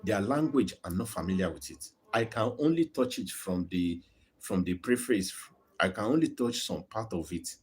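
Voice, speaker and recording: A man's voice: fairly low pitch, animated, moderate pace, darkish tone, husky. A Nigerian man with a Yoruba accent, hosting his own channel and arguing a point earnestly to viewers, often repeating words as he builds it. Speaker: YouTube channel host. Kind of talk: live-streamed opinion talk to viewers.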